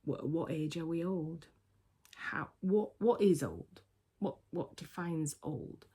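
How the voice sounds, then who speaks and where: A woman's voice: sits low, takes it slowly, warm tone, slightly rough. A woman in her sixties from the UK, chatting casually and reflectively to camera on her vlog, with filler words and restarts. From United Kingdom. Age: 60s. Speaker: vlogger.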